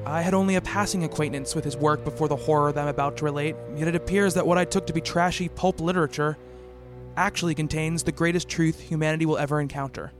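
A man acting in a radio play as an earnest psychiatrist who can be awkward and halting. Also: fairly high pitch, steady tone, over music, natural voice. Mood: grave, haunted. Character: earnest male psychiatrist, haunted narrator and shy awkward suitor.

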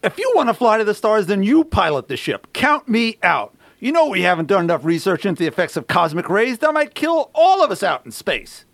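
A man playing a gruff, stubborn pilot with a quick temper in a radio play, blunt, grumbling and melodramatic. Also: high-pitched, sing-song, a bit bright, no room sound, full character voice. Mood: defiant.

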